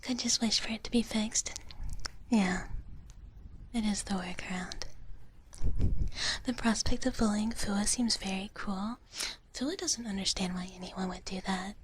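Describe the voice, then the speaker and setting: A woman livestreamer whispering breathily and gently into a close microphone as she chats playfully with viewers in ASMR style, in a big-sister dragon persona. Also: medium pitch, measured pace, pauses often. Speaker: livestream host. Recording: close-up whisper with clear background noise.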